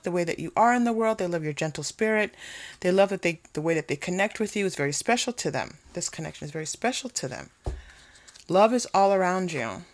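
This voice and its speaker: A woman doing an unscripted tarot card reading, musing aloud and repeating phrases for emphasis as she addresses the viewer. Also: fairly low pitch. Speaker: tarot reader.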